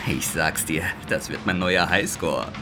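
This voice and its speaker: A man's voice: low voice, a little slow, over music. A man playing a bored, absent-minded train conductor in a children's audio drama. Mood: distracted. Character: bored, easily absorbed male train conductor.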